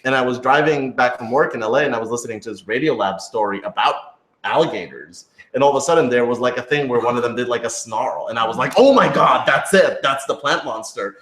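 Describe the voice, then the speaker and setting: A man of average pitch talks casually in an echoey room. He explains at length in a loose, rambling way, leaning on filler words as he answers questions. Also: fast, few pauses, a bit bright. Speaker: writer and audio editor of an audio drama. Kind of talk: casual Q&A chat. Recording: roomy, echoey room sound.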